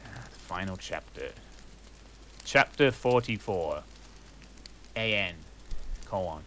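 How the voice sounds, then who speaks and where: A man's voice: low voice, expressive, takes it slowly, pushed and bright. A man reading a story aloud in a comic, mock-earnest manner, with a fireplace crackling behind him. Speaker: reader.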